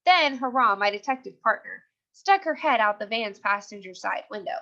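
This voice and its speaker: A woman who is a teacher reading a children's chapter book aloud in an animated, dramatic way. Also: mid-range voice, moderate pace, lo-fi sound.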